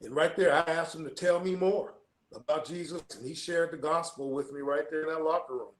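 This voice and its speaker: A man's voice: fairly high pitch, fairly quick, echoey room. A man, a guest coach, giving an earnest personal testimony and repeating key phrases for emphasis.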